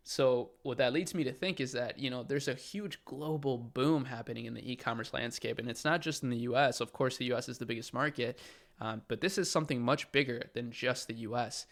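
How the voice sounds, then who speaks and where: A man's voice: mid-range voice, fairly bright tone. A young man from Chile talking casually to camera as an e-commerce channel host, upbeat and enthusiastic, with lots of fillers. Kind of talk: casual direct-to-camera talk.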